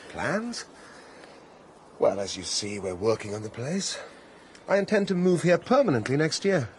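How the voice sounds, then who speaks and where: A man's voice: mid-range voice, very animated, moderate pace, a little mellow, slight background noise, close to an everyday voice. A man with a British accent playing a disillusioned, cynical prince in a radio drama, well-spoken, weary and clipped in manner. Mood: reserved. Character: disillusioned, cynical Russian prince, a brooding landowner.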